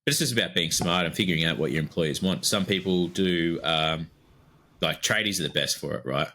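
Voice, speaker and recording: A man's voice: low-pitched, fairly quick, sharp tone. An Australian man co-hosting a podcast, chatting casually and easily, with plenty of fillers as he rambles. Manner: easygoing, rambling, lots of fillers. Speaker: podcast co-host.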